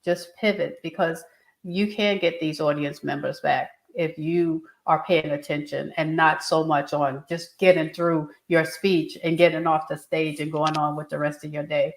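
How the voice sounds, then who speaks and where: A woman's voice: low-pitched, fairly even intonation, normal speed, roomy sound. A woman speaking coach explaining her advice in an earnest, instructive way during an interview. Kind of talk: interview answers.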